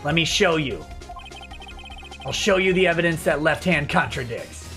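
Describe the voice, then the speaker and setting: A man's voice: on the high side, lively intonation, normal speed, sharp tone, faint music behind. A man voicing an earnest, anxious young male defense attorney from a video game, with urgent delivery. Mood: determined.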